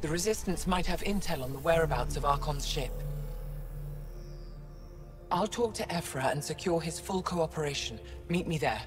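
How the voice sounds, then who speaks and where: Grave, authoritative elder alien scholar. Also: female voice, deep voice, animated, in a large hall, music in the background. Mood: resolute. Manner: authoritative, measured, formal. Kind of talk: dramatic video game acting.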